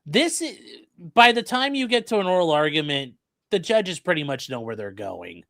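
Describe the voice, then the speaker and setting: A man hosts a live stream in a very animated, up-and-down voice. He reads viewer questions and explains legal points casually, slipping in wry jokes. The sound is dry and close. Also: on the high side, normal speed, creaky, no echo.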